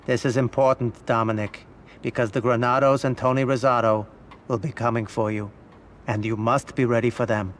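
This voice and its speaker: A calculating older mob boss played by a man in a game cutscene, with a mid-pitched voice. He speaks with measured, quiet authority over faint background noise. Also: level tone, lightly acted. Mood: calm, advising. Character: calm, calculating older male crime boss. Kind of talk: video game cutscene acting.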